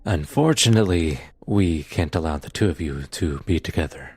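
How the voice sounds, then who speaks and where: A man playing a firm, matter-of-fact police detective in an audio roleplay drama, with a measured, official manner and a husky voice. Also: deep voice, lively intonation, darkish tone, no room sound, full character voice. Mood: firm, regretful.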